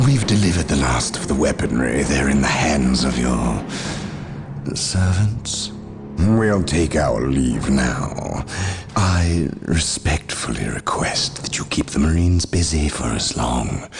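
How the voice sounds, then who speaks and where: Extremely deep-voiced, courteous villain. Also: male voice, expressive, vocal fry, noisy background, exaggerated voice. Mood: feigned deference. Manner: formal, measured, coolly polite. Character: cunning, smoothly courteous villain, a male dark conspirator. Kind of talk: video game cutscene acting.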